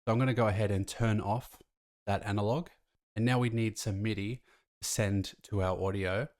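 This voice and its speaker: Low-voiced man giving a casual tutorial. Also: fairly even intonation, normal speed, darkish tone, dry recording, clean studio sound. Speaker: music production tutor. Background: faint music from the track.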